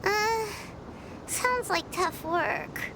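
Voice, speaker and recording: A woman voicing a tiny, childlike fairy companion in a video game, curious and expressive in a squeaky voice. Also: very slow, very noisy, full character voice. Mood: hesitant. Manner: squeaky, childlike, blunt and inquisitive. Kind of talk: scripted video game voice acting.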